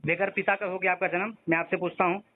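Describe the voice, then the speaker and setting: A man with a notably high voice argues back over the phone. He challenges and cuts in, repeating his questions and demands. The audio is thin and narrow, like a phone recording. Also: level tone, fast talker. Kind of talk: argumentative phone conversation. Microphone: phone call. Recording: tinny phone-line call recording.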